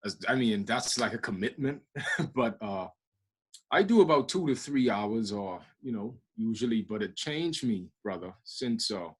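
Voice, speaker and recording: A man's voice: lowish voice, animated, a little slow, breathy edge. A man from the Bahamas with a Bahamian accent, chatting casually as a guest and laughing often. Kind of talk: casual video call chat.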